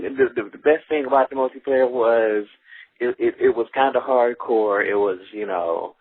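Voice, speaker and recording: A man rambles into an answering machine, tripping over repeated words as he goes. His voice is husky and a little raspy. The sound is thin and phone-like, and dry. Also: on the low side, dry recording. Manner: repeats words when excited, says "you know" a lot. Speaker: caller leaving a voicemail.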